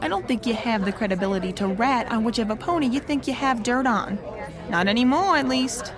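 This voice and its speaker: A woman's voice: a little high, lively intonation, fairly quick, lightly acted. A woman playing a cool, dismissive female pony in an audio drama, speaking in a sardonic, cutting manner. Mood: weary, dismissive. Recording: crowd murmur behind the voice.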